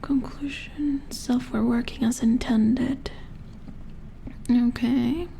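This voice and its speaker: Female engineer played by a woman for ASMR robot-repair roleplay; mellow, very creaky whisper, unhurried, mildly curious, thinking aloud. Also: fairly high pitch, fairly even intonation, slight background noise. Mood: matter-of-fact, explaining. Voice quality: breathy whisper with creaky edges. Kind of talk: soft-spoken ASMR roleplay.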